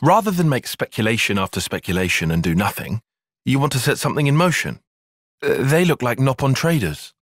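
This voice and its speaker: An earnest young tactician in a video game cutscene. His voice is a little husky and recorded close and dry. He speaks in formal, bookish sentences, with pitch that moves a good deal. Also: big pitch swings, a little fast, dry recording. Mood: composed, analytical. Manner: precise, formal, bookish phrasing. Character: analytical, earnest young male soldier and tactician. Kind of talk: dramatic game cutscene acting.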